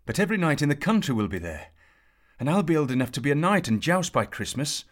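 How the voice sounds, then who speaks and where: A man's voice: medium pitch, sing-song, moderate pace, husky, pitched above the performer's own voice. A man voicing a whiny, boastful teenage squire who keeps complaining. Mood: wailing. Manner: whining, complaining.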